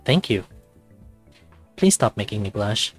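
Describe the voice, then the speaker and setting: A man's voice: lowish voice, sing-song, a little slow, soft background music. Man playing a bashful, flustered young-hearted animal guy. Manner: hesitant, easily embarrassed. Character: flustered, bashful male animal narrator with bushy tail. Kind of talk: visual novel character read aloud.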